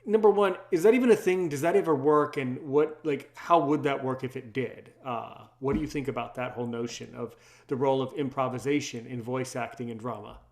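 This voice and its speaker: A man's voice: medium pitch, brisk, hardly any pauses. A man hosting a casual interview, effusive and wordy, circling around his questions. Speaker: host, interviewer.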